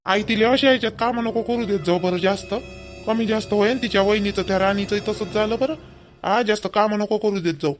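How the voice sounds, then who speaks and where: A man's voice: sits high, steady tone, fairly quick, soft background music, natural voice. A man voicing an opinionated, protective young married son in a village cartoon, speaking emphatically in colloquial Vidarbha Marathi. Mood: concerned. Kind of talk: homemade cartoon family dialogue.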